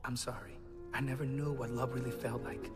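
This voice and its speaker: A man's voice: mid-range voice, steady tone, brisk, lightly acted. Man playing a lovestruck young cartoon prince, echoing reverb. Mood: solemn, heartfelt. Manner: theatrical, earnest, declaiming. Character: earnest lovestruck young prince, dramatic and romantic. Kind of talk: animated film voice acting. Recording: long reverb, loud music behind. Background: film music.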